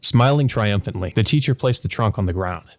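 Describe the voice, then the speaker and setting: A man with a fairly low voice reads a visual novel aloud for a let's play. He narrates the text and slips into casual asides. Music plays in the background. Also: lively intonation, a little fast.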